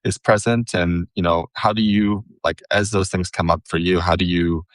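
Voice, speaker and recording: A man's voice: low voice, fairly even intonation, moderate pace, dry recording. A man hosting an interview, asking long, hesitant questions padded with fillers. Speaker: podcast host.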